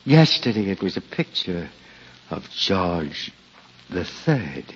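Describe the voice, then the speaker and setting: A man's voice: deep voice, very animated, slow pace, full character voice. An actor playing a bewildered, meek old village man in a hesitant 1940s radio drama style. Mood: distressed, bewildered. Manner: hesitant, befuddled, exclaiming. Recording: noisy, muffled 1940s radio recording.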